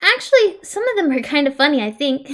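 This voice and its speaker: A woman voicing a gentle, upbeat teenage girl who chats online in a sweet, reassuring manner. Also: sits high, very animated, normal speed. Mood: patient, mild.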